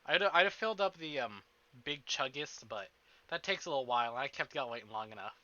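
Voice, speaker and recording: A man chatting casually to livestream viewers in an animated, self-interrupting, playfully profane way, as host of a game stream. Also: medium pitch, brisk, dull audio. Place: indoors at a desk.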